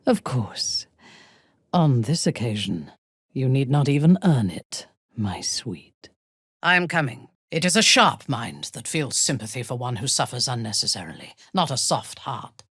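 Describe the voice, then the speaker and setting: A woman playing an imperious, ruthless dark elf warrior in a fantasy game, haughty and menacing, with a husky, breathy voice and measured delivery. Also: a bass voice, very animated, no echo, studio quality, heavily acted. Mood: cool, commanding. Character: imperious, ruthless female drow warrior and cult commander. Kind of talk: dramatic fantasy game acting.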